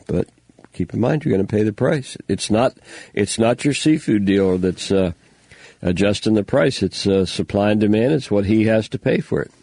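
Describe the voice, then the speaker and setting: A man's voice: on the low side, lively intonation, normal speed, creaky, a little mellow, slightly rough, dry recording. An older man hosting a local radio show, talking casually in a folksy, rambling way with lots of fillers, sounding stuffy. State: stuffy nose. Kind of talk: casual on-air talk, reading news items.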